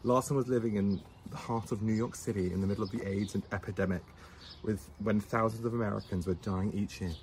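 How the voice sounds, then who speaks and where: Low-voiced young man reading notes. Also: fairly even intonation, a little fast, darkish tone, slight background noise. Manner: teasing, stumbles while reading, restarts words. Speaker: co-host. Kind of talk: casual chat, reading notes aloud. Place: outdoors in the sun.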